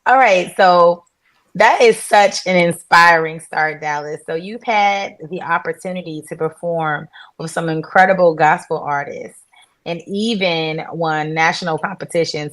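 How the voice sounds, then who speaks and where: A woman's voice: on the low side, normal speed, fairly bright tone. A woman hosting an interview, asking questions and giving short encouraging replies. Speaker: host.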